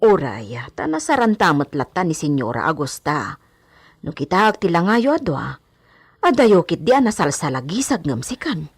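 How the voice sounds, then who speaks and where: A woman's voice: sits low, sing-song, moderate pace, husky, no room sound, studio quality, full character voice. A woman playing a frank, fussy older-sister household servant in a radio drama, with a blunt, gossipy way of talking. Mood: concerned, scolding. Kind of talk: Ilocano radio drama acting.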